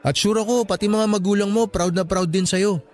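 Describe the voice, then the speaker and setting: Actor doing a young guy in a radio drama, pretty high voice, earnest n teasing, bit of music behind. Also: fairly even intonation, fairly quick, natural voice. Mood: earnest, encouraging. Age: young adult. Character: encouraging, teasing young man, new college graduate. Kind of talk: Filipino radio drama acting.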